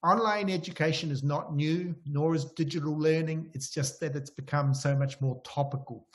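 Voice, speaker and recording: A man's voice: a little high, fairly even intonation, normal speed. A middle-aged or older man with a New Zealand accent giving a conversational webinar lecture as an invited professor. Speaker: professor, invited keynote speaker.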